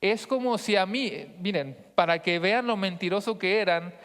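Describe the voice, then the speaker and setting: High-voiced Mexican pastor in a reverberant hall. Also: male voice, normal speed, a bit bright, clear, resonant tone. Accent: northern Mexican Spanish. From Monterrey, northern Mexico. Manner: conversational, frequent rhetorical check-in questions. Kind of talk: teaching sermon to a congregation.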